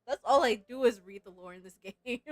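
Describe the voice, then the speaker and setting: A woman game streamer chatting casually and reacting in an animated, giggly way. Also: a little high, moderate pace, no echo. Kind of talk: casual livestream chat with read-aloud game lines.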